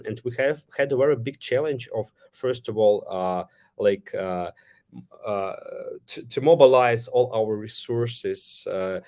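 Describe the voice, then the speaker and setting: Male CEO from Ukraine, slightly low voice, hesitant delivery full of fillers, live Q&A host. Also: darkish tone. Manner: halting, many fillers and restarts. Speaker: company CEO hosting a Q&A. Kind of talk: live online Q&A answering questions.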